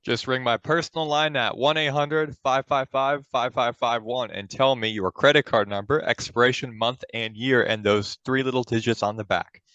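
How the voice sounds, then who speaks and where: Shady infomercial pitchman, played by a man: forceful, lowish voice, hard sell, close and dry, for a comedy radio parody. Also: fairly even intonation, no echo, highs cut off, close to an everyday voice. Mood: slick. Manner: pushy salesman patter, rushed disclaimers. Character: pushy fast-talking shady male robot-company infomercial pitchman.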